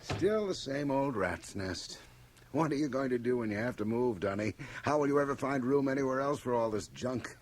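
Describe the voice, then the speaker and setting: A man's voice: medium pitch, expressive, moderate pace, natural voice. An actor playing a wealthy middle-aged board chairman in a radio drama, urbane and clubby, with an affable but condescending manner. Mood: mocking, patronizing. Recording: 1980 radio drama, hissy cassette transfer.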